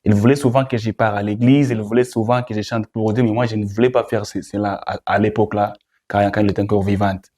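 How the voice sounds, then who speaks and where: Mellow, lowish young Congolese man. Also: fairly even intonation, fast talker. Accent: Congolese French. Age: young adult. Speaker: guest, gospel musician. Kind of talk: interview answers.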